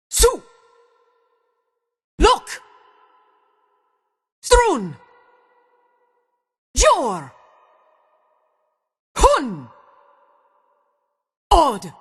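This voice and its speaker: Fierce elf shouting, high-pitched. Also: female voice, full character voice. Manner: forceful, isolated exclaimed words. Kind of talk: fantasy video game battle shouts.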